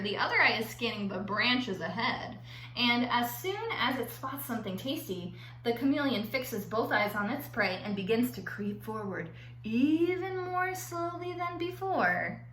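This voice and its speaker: A woman teacher reading aloud to children in an expressive, playful way, drawing out words for emphasis and adding chatty asides. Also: on the high side, normal speed. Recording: roomy echo with faint background noise.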